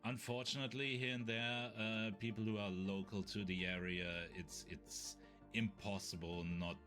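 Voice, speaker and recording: A man with a German accent co-hosting a podcast in casual chat, often pausing to search for words. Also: fairly low pitch, little pitch movement, fairly bright tone, faint music behind. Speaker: podcast co-host.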